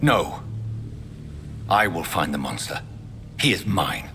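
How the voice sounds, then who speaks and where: Deep-voiced, brooding vampire doctor. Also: male voice, very animated, takes it slowly, bright, forceful tone, exaggerated voice. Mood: grimly determined. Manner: terse, grave, intense. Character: brooding, determined male doctor turned newborn vampire. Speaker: voice actor in a video game. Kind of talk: scripted game dialogue and monologue. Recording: music under the voice.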